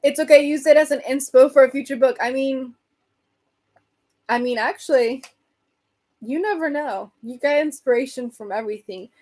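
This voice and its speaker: A woman hosting a casual livestream, rambling and answering viewer comments, her voice getting hoarse from talking so much. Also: high voice, moderate pace, long pauses, darkish tone. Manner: chatty, rambling, reads and answers comments, laughs often. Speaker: livestream host chatting with viewers.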